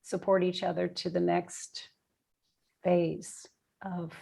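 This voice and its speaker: A woman's voice: lowish voice, flat delivery, slow, creaky, mellow, husky. A woman speaking reflectively in an interview, searching for words and repeating phrases as she goes. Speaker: guest, nursing and bioethics professor.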